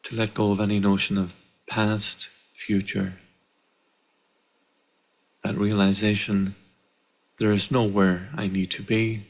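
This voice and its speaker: A man leading a guided meditation in a calm, measured way, with deliberate pauses between short phrases. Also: on the low side, creaky, poor audio. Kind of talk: guided meditation, slow and instructional. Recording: live online session.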